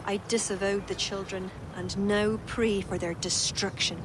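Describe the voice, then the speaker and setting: With clear ambient noise behind, an actress plays a solemn druid woman in a game drama. She speaks at a medium pitch, earnest and measured, with regret in her lyrical phrasing. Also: steady tone, close to an everyday voice. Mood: wistful confession. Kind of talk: dramatic video game acting.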